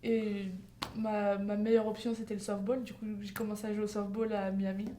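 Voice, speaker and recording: A woman's voice: mid-range voice, level tone, fairly quick, dark tone, slight background noise. A young woman from France, answering interview questions fluently. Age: young adult. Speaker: guest, softball player.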